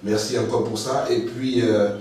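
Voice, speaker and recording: A man's voice: mid-range voice, level tone, normal speed, a little mellow, slight background noise. Chatty male interviewer, echoey room. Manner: chatty, eager, frequent affirmations. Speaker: interviewer, host. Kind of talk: interview questions. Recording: roomy echo, distant mic.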